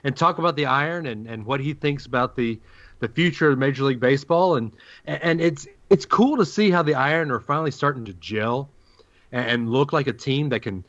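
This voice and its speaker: A man hosts a casual sports podcast in a bright, forceful voice. His pitch sits in the middle range, and the recording is a little dull and lo-fi. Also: brisk. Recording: dull lo-fi audio.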